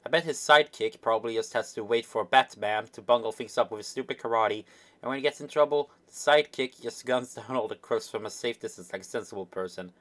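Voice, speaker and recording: A man's voice: mid-range voice, fast, fairly bright tone, close to an everyday voice. A man voicing an opinionated young sidekick fan in a web comic, rambling through a mock-indignant rant with quaint slang. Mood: scornful.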